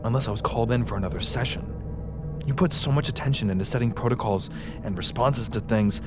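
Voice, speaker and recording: Sci-fi drama sergeant, played by a man: wounded, distrustful of command, pointed delivery, clear music bed. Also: mid-range voice, quick pace, lightly acted. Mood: restrained, suspicious. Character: grieving, defiant male military sergeant, distrustful of superiors. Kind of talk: sci-fi audio drama acting.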